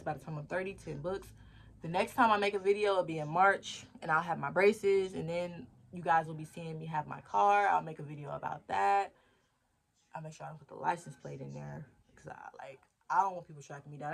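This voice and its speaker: A young woman in her twenties speaks straight to her viewers in a casual diary vlog. Her voice has a gravelly, fry-touched texture. She rambles and thinks aloud, circling back to her goals and pepping herself up as she goes. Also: deep voice. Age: 20s. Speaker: vlogger.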